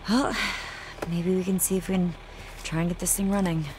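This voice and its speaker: A woman's voice: fairly low pitch, fairly even intonation, a little fast, noisy background, close to an everyday voice. An actress playing an upbeat, practical young female camp counselor in a horror video game. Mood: hopeful.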